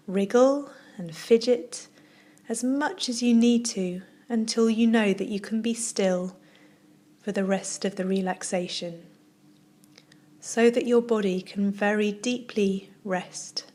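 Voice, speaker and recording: A woman yoga teacher leading a guided relaxation, speaking softly and soothingly in short phrases with long pauses. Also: medium pitch. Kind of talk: guided meditation, yoga nidra narration.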